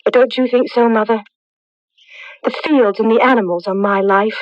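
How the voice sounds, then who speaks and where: A woman's voice: on the high side, fairly even intonation, moderate pace, no room sound, close to an everyday voice. An actress playing a proud, mysterious young village woman in a radio drama, formal and composed. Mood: composed, cryptic. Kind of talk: 1980s radio drama acting. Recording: dull lo-fi radio broadcast recording.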